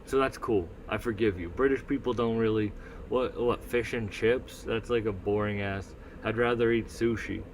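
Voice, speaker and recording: A man's voice: lowish voice, steady tone, moderate pace, a little hiss or hum, rough recording. A man with a Northeastern US accent rambling through a casual solo livestream, swearing freely and reading the chat aloud. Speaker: livestream host.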